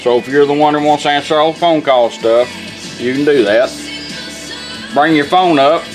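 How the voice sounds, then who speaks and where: A man's voice: fairly high pitch, normal speed, faint music behind. Folksy man doing a hands-on demo. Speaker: presenter demonstrating a product.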